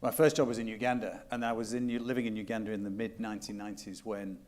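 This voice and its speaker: A man with a lowish voice gives opening remarks as a conference panelist. He speaks reflectively, pausing and rephrasing now and then. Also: level tone, a little mellow. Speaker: panelist, economist. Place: conference hall.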